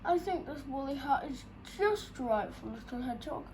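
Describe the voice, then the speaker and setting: Woman doing a gruff but kindly storybook badger. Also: high-pitched, animated, moderate pace, a little mellow, slight background noise, voice pushed up. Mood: surprised, kindly. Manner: gruff, questioning, emphatic.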